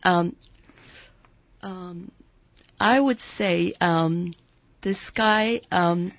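A woman from Turkey, a native Turkish speaker with a Turkish accent, talking as an interview guest and pausing to search for words. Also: lowish voice, no echo. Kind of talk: conversational radio interview. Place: radio studio. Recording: dry, slightly dull radio audio.

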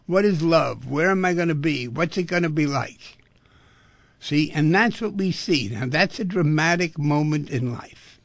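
A man hosting a talk radio show, talking in an animated way and asking rhetorical questions. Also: a little high, unhurried, dry recording.

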